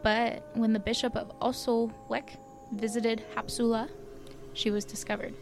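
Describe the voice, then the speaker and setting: A woman's voice: fairly high pitch, flat delivery, measured pace, a little mellow, soft background music. Casual podcast co-host, woman, from Canada, loose rambling storyteller. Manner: chatty, lots of likes and ums, laughs at own stumbles. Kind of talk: casual chat, telling legends.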